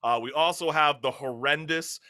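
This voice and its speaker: A young man hosting a casual talk show, chatting in an animated, slangy, joking way. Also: on the high side, moderate pace.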